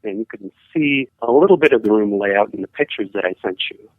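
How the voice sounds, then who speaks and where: A man calling in to tell a ghost story in a casual, halting way, full of hedging fillers, over the phone. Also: lowish voice, animated, dry recording. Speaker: caller sharing a ghost story. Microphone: telephone. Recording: tinny phone line.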